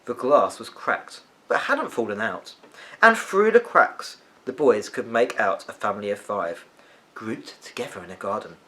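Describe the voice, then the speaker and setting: A man reading a children's story aloud as an expressive narrator. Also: on the low side, unhurried.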